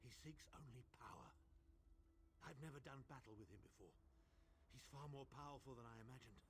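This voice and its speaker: An actor playing a resolute, grave imperial ally in a fantasy video game, speaking formally with dramatic urgency. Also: male voice, mid-range voice, expressive, a little fast, a little hiss or hum, natural voice. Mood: grave resolve.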